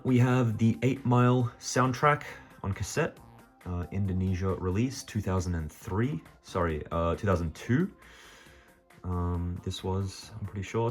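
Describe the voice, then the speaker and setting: A man with an Australian accent talking casually and off the cuff to viewers as he presents a collection, rambling a little with hesitant asides. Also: low voice. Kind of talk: casual unscripted show-and-tell to viewers.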